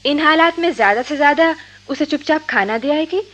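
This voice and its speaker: A woman's voice: high voice, brisk, a bit bright. An actress playing a level-headed young woman, a cautioning friend, with emphatic delivery in a Hindi radio drama. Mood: warning, worried.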